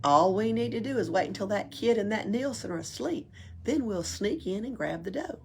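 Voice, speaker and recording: A woman's voice: mid-range voice, animated, moderate pace, gravelly, a little mellow, slight background noise, voice pushed up. A woman voicing a sly, greedy male tramp in a children's storybook, in a furtive, conspiratorial manner. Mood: greedy, delighted.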